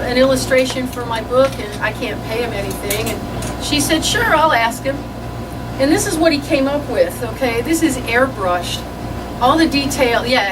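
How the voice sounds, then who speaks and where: Middle-aged woman, distant and noisy. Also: on the high side. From northern United States. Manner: rambling, conversational, self-deprecating. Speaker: author introducing a book at a reading. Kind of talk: informal, anecdotal talk to an audience. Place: room at a public book reading. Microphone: far from the speaker. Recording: distant mic with loud air-conditioner-like room noise.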